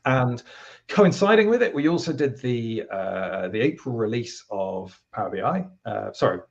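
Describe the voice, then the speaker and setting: A man with a British accent, a guest from a product team, explaining at length in a relaxed, rambling way with lots of fillers. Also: medium pitch, moderate pace, gravelly, dull audio. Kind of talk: casual interview talk.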